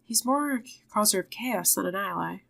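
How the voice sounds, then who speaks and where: A woman's voice: medium pitch, expressive, normal speed, gravelly, fairly bright tone. Female game-dialogue reader, mid-range voice, light music underneath. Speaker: video game channel creator reading quest dialogue. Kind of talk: reading game dialogue aloud in character.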